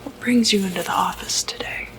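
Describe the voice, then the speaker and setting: A woman speaking in a breathy whisper, softly reassuring and hesitant, in an ASMR medical roleplay as clinic staff. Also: medium pitch, brisk, rough recording. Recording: noticeable background noise, ambient sound.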